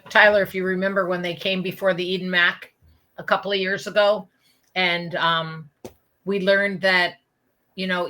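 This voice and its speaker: A woman's voice: lowish voice, sharp tone. A woman speaking as a guest in an interview, conversational and emphatic, often checking that listeners follow. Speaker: interview guest, open space campaigner.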